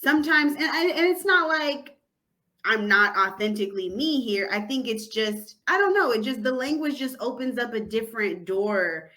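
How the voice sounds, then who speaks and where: A woman's voice: a little high, lively intonation, moderate pace. A woman guest in a podcast interview, chatting casually and slipping into Spanish. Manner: warm agreeing backchannel, switches into Spanish.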